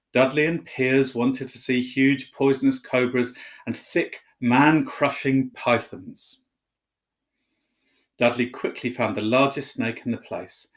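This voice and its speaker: A man telling a story, reading aloud expressively as a narrator. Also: mid-range voice.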